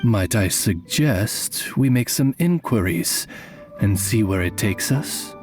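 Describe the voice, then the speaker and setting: A man's voice: fairly low pitch, expressive, slow pace, a little mellow, soft background music. A man voicing a courteous, butler-like raven familiar in a video game, formal and refined. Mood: measured. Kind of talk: video game character acting.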